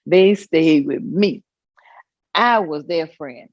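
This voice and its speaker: A woman's voice: low voice, takes it slowly, no echo, highs cut off. An actress playing a proud, amused older mother with a Southern US accent, telling folksy stories in a staged reading. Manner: folksy, chuckling storytelling. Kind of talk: staged reading of oral history.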